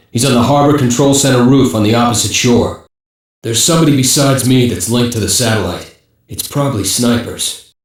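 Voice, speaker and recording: A man's voice: mid-range voice, close to an everyday voice. An actor playing a steely, focused male police sniper, making controlled and terse tactical reports in dubbed anime game dialogue. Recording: very echoey, distant from the mic.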